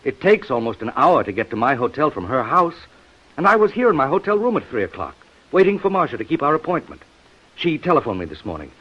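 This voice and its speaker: An American actor playing a defensive, earnestly protesting lover suspected of murder in a 1950s radio detective drama. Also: male voice, medium pitch, big pitch swings, fast talker, slightly rough. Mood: indignant protest. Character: defensive male lover under suspicion of murder. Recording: dull vintage radio recording.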